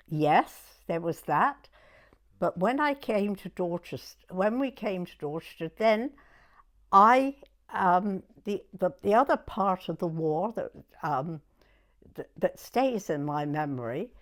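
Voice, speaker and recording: A woman in her nineties with a southern English accent, reminiscing in an interview in a halting way, pausing to recollect. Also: fairly low pitch. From southern England. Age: 90s. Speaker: oral history interviewee.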